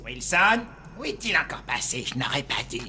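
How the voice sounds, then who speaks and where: Grumbling, animated monster chef. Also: male voice, a little high, moderate pace, a little hiss or hum, heavily acted. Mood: exasperated. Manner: grumbling, bossy. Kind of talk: French cartoon dubbing.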